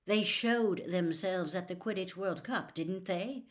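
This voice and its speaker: A woman voicing a troubled adult male wizard who gives earnest, hurried warnings in a storybook reading. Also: lowish voice, natural voice. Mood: urgent.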